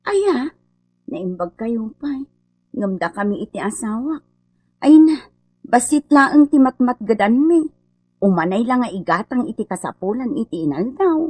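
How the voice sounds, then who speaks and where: Village woman in a radio comedy, kinda raspy, really animated, plain talking. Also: on the high side, measured pace, no echo. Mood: wistful. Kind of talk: comedy radio drama acting.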